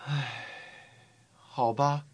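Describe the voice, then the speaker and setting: A male actor playing a small, kindhearted, loyal swallow in a fairy-tale drama, speaking in an earnest, soft-hearted way. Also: mid-range voice, fairly even intonation, drawn-out words, breathy edge. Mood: reluctant, concerned. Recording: faint music bed. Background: faint music.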